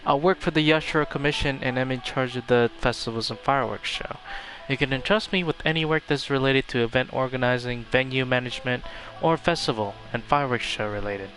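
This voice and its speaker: Casting: man for a game livestream, medium-pitched voice, casual reading and commentary over soft background music. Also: fairly quick, hardly any pauses, rough recording. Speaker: game streamer. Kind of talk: livestream playthrough, reading dialogue aloud and reacting casually. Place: voice over video game audio.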